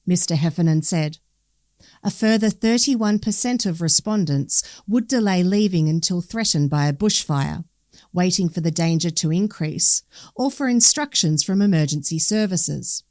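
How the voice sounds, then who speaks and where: Australian female news reader, lowish voice, dry close sound, scripted narration. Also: animated, moderate pace, a little mellow, no room sound. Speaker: newspaper story reader.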